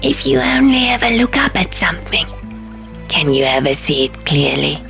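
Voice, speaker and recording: A woman's voice: on the low side, big pitch swings, moderate pace, creaky, fairly bright tone, exaggerated voice. A woman voicing a male stone gargoyle in an audiobook, speaking in a solemn, declamatory and melancholy manner. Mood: solemn, plain. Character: stone gargoyle, male, plain-spoken, sad, theatrically melodramatic. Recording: music behind the voice.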